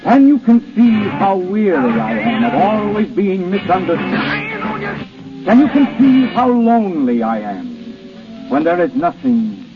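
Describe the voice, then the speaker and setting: Casting: Death personified, lonely and stately, highish voice with sweeping inflection, 1930s radio drama. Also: male voice, sing-song, music in the background. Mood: weary, yearning. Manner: grand, deliberate, formal. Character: Death personified, weary, lonely, courtly supernatural visitor. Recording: muffled 1930s radio broadcast recording.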